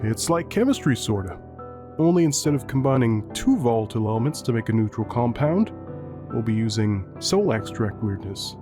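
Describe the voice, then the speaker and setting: Man as easygoing skeleton intern for a comic dub: mid-pitched, lively swings, casual, dryly joking. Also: normal speed, close to an everyday voice. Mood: wry, tentative. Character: laid-back, wry young male skeleton lab assistant. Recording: faint music behind the voice.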